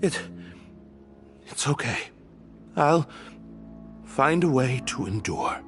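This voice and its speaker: Slow, suffering prisoner. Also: male voice, medium pitch, big pitch swings. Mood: pained resignation. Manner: halting, strained. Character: suffering, resigned, gentle male prisoner. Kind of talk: dramatic video game voice acting. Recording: music behind the voice.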